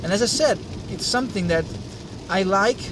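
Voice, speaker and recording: A man's voice: sits high, lively intonation, moderate pace, fairly bright tone, a little hiss or hum, low-quality recording. A young Italian man, a native Italian speaker, talking casually in English as host of a science channel, hesitant, with many fillers and restarts. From Italy. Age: young adult. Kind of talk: casual talk to camera.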